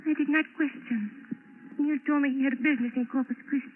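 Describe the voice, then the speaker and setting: An actress playing a young foreign woman, a shipowner's daughter, speaking soft, broken English in a 1940s radio drama. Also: sits high, steady tone, fairly quick, lightly acted. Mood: pleading. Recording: tinny hissy old radio broadcast.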